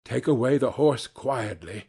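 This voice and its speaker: A man's voice: mid-range voice, unhurried, mellow, slightly rough. A man voicing a wise, helpful talking fox in a storybook, giving formal, old-fashioned instructions. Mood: reproving, instructive.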